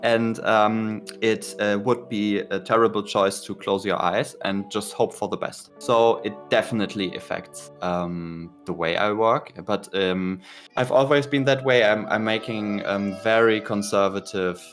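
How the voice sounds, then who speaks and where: A man's voice: on the low side, steady tone, a little slow, a bit bright, faint music behind. A German man with a German accent, an indie game developer talking in a casual interview, hesitant and full of fillers and restarts. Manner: hesitant, many fillers and restarts, laughs at himself.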